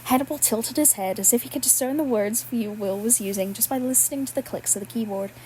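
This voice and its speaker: A woman's voice: fairly high pitch, a little fast, dark tone, slight background noise, rough recording. A woman reading fan fiction aloud, breaking into laughs as she goes. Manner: laughs at the text while reading. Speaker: podfic reader.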